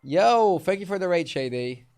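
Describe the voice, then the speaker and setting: A man's voice: high voice, expressive, normal speed, vocal fry, darkish tone, smooth, soft background music. Laid-back man with a Romanian accent. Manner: laid-back, chatty, answers chat between plays. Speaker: streamer. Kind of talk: casual live-stream commentary.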